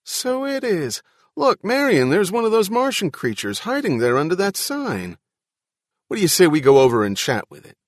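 A man's voice: sits high, huge pitch swings, normal speed, no echo, clean studio sound, pitched above the performer's own voice. A man voicing a cheerful, folksy father in a storybook reading, eagerly talking to his family. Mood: amused, eager.

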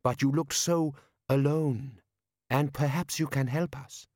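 A man acts out a friendly young woman from a storybook. She speaks gently and carefully, polite and kind, in a dry, close recording. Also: mid-range voice, steady tone, measured pace, no echo, voice pushed up, close to an everyday voice. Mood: kindly.

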